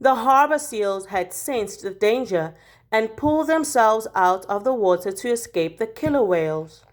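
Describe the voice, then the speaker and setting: A woman reads a children's story aloud at a slow, careful pace. Her voice sits fairly high and moves up and down in a lively way, leaning on key words for drama. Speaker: teacher reading a picture book aloud.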